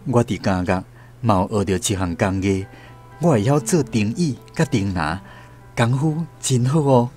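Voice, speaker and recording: An actor with a Taiwanese accent playing a remorseful, humble grown son back from prison in a radio drama. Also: male voice, fairly low pitch, expressive, normal speed, darkish tone, faint music behind, lightly acted. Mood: earnest.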